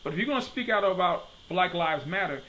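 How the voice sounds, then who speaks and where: A man in his thirties from Detroit delivers a preaching-style commentary straight into a desk webcam in his home study. His voice sits a little high and rings bright and forceful. He repeats his questions for emphasis, and a faint background hiss stays under the talk. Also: expressive, brisk, poor audio. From Detroit, USA.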